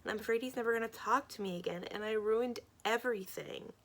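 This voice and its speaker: A woman's voice: mid-range voice, animated, normal speed, fairly bright tone. A woman voicing an earnest, apologetic, insecure young girl in a story, hesitant and blurting. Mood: upset.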